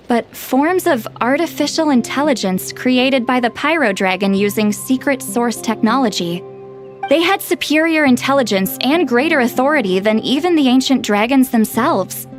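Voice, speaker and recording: High-pitched, self-assured young scholarly woman played by an actress. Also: natural voice. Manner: articulate, self-assured, explanatory. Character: haughty, scholarly young woman, proud of her learning. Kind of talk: video game dialogue, expository storytelling.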